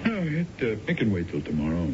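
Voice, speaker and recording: An actor playing an earnest, moralizing middle-aged family friend in a radio drama, speaking with hesitant, stammering politeness. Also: male voice, fairly low pitch, wildly swooping pitch, fast. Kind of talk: old-time radio drama acting. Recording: muffled, noisy 1970s radio recording.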